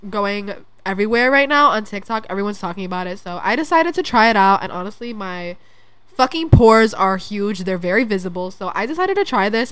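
A woman's voice: medium pitch, a bit bright, smooth, dry recording. A young woman hosting a casual solo podcast, chatting loosely with lots of "like" and swearing, her voice congested from allergies. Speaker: podcast host. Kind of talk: casual chat.

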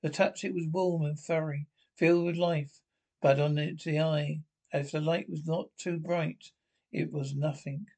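A man narrating a radio thriller script aloud, reading haltingly and stumbling over the text. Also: fairly high pitch, fairly even intonation, a little mellow.

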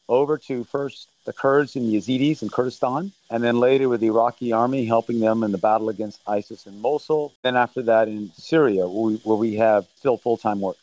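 A man's voice: mid-range voice, darkish tone, dry recording, low-quality recording. Earnest middle-aged man, dry sound. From Thailand. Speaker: relief mission leader. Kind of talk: remote interview answers.

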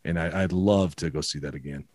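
A man's voice: extremely low pitch, a little fast, no echo, studio quality. A man with a Minnesota accent, chatting casually and telling stories with many restarts and repeated words. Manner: warm storytelling, frequent restarts and repeated words. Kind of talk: casual conversation.

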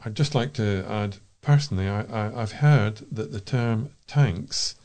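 Mellow, low-pitched British man reading features. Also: a little slow. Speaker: volunteer reader for a local talking newspaper. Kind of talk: reading feature articles aloud with informal asides.

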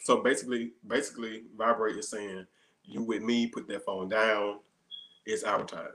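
A man's voice: on the low side, flat delivery, normal speed, fairly bright tone. A man in his thirties chatting casually as an interview guest, explaining his songs as a singer-songwriter. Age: 30s. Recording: roomy, echoey sound.

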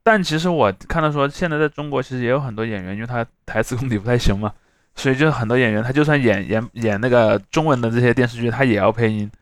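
A man hosts a casual podcast conversation at a brisk pace. He works through his points with examples in an explanatory way. The sound is dry, with hardly any room in it. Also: medium pitch, no room sound. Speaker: podcast host. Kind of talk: casual chat.

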